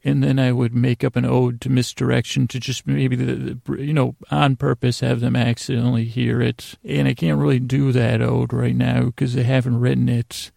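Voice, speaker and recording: A man hosting a solo bedtime podcast in a meandering, halting monologue, often interrupting himself and drifting into asides. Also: medium pitch, flat delivery, warm tone, no room sound, clean studio sound. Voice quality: gravelly, creaky. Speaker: podcast host.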